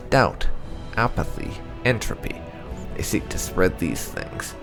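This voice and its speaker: A man with a deep voice reads video game lore aloud in a measured way. His tone is gravelly with plenty of fry, and his pitch moves expressively as he narrates. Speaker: video game playthrough host.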